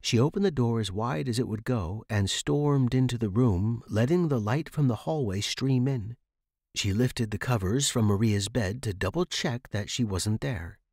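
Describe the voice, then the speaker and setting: A man's voice: medium pitch, normal speed, darkish tone, dry recording, studio quality. A man reading scripted first-person suspense fiction as a podcast narrator.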